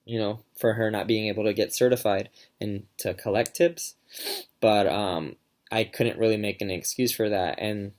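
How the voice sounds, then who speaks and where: A man's voice: fairly low pitch, darkish tone. A young man giving a casual class presentation, talking in a hesitant way with many fillers and restarts. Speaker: student presenter.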